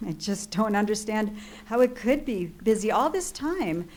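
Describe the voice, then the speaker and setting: A woman's voice: mid-range voice, sing-song, measured pace, a little mellow. An actress playing an anxious, lonely married woman on the phone in 1940s-style radio drama, fretful, hesitant and complaining. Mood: fretful, uneasy.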